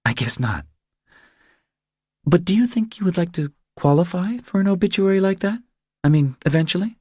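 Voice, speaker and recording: Man acting as anxious woman driver, apologetic, breathy, raised pitch, animated intonation, audiobook thriller character. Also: normal speed, no room sound, clean studio sound. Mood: apologetic, probing. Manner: apologetic, hesitant. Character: nervous, pleading woman driver seeking help.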